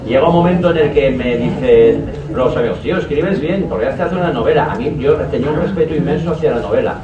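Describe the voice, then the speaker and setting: A man from Valencia, a novelist on a conference panel, telling stories in a lively, colloquial way with mild swearing. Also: medium pitch, fast, crowd noise. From Valencia, Spain. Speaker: panelist novelist and newspaper columnist. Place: conference hall. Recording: roomy, dull, noisy hall audio from a distant mic.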